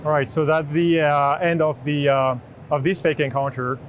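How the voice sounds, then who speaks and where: A man in his thirties with a French accent giving an unscripted product demo in a halting way. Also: on the high side, flat delivery. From France. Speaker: chief product officer demonstrating a product. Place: open booth in large indoor exhibition hall. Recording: noisy trade show hall background.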